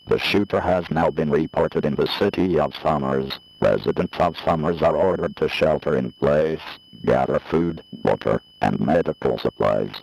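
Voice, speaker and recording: A man's voice: deep voice, a little mellow, poor audio. A man reading a formal, scripted emergency alert in a mechanical manner as a broadcast announcer.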